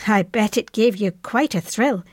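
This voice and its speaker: A woman's voice: mid-range voice, normal speed, dry recording, studio quality, natural voice. An actress playing an affectionate middle-aged mother in wartime, reading out her chatty, matter-of-fact letter to her airman son. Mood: chatty, fond.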